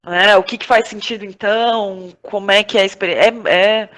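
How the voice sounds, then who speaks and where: Brazilian woman, halting and reflective. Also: medium pitch, steady tone, fairly bright tone. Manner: halting, searching, thinking aloud, often seeking agreement with tag questions. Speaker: podcast guest, playwright, actress and director. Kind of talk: reflective conversational interview answers.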